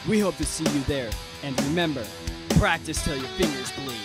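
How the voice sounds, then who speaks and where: A man's voice: fairly high pitch, expressive, fairly quick. A young man reading a scripted promo for a school club. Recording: loud guitar music over voice. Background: loud guitar.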